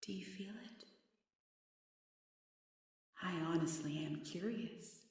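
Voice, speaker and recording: A woman's voice: lowish voice, slow pace, darkish tone. A woman playing a haughty, sadistic half-demon, half-witch villainess in an ASMR fantasy roleplay, mocking and imperious with theatrical menace. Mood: gloating. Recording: very echoey, slightly distant.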